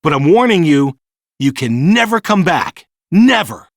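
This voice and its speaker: A man's voice: fairly high pitch, very animated, measured pace, no room sound, studio quality, full character voice. A man voicing a bold, headstrong young boy adventurer in a storybook, brash and exclamatory. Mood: angry warning.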